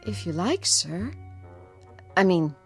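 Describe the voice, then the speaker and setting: An actress playing a polite, deferential, slightly shy secretary in an audio drama. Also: female voice, lowish voice, big pitch swings, takes it slowly, soft background music, full character voice.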